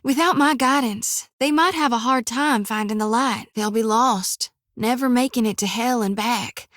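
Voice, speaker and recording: An actress voicing a devout young female reaper priestess haunted by visions, with a drawl, often hushed and whispery, recorded dry in a studio. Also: fairly high pitch, normal speed, no room sound, lightly acted. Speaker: game character. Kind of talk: game voice acting.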